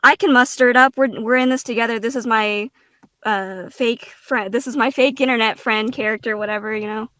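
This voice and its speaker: A woman giving a casual, reflective interview answer, earnest and halting, with informal turns of phrase. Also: a little high, fairly even intonation, a bit bright, dry recording. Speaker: guest voice actor and streamer.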